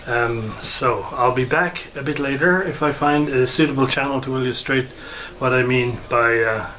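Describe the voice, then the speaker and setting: A man giving an informal, hesitant and unhurried demonstration of some hobby gear. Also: mid-range voice, bright, forceful tone, slight background noise, rough recording. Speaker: hobbyist video maker and shortwave listener.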